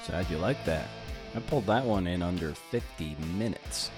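A man's voice: low voice, lively intonation, normal speed, over music. A man hosting a podcast, talking casually to his guest and listeners. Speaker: podcast host. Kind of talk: casual podcast interview and sign-off.

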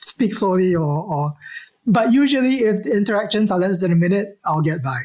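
Man, husky voice, halting answers, lots of restarts. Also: high voice, lively intonation, a little mellow. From Singapore. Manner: halting, many restarts and fillers. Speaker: archaeologist guest. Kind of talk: interview answers.

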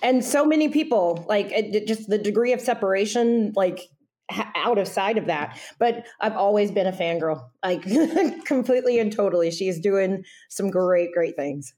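A woman's voice: medium pitch, moderate pace, darkish tone. A woman co-hosting a podcast in casual chat, gushing and giggling, with many fillers. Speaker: podcast co-host.

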